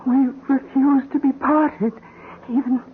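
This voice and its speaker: An actress playing a dying, devoted, clinging wife, faltering and tremulous, in radio melodrama style. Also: female voice, high voice, fairly even intonation, takes it slowly. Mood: weak, pleading. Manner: faltering, tremulous, repeating herself. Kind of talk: 1970s radio melodrama acting. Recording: noisy, narrow-band old radio recording.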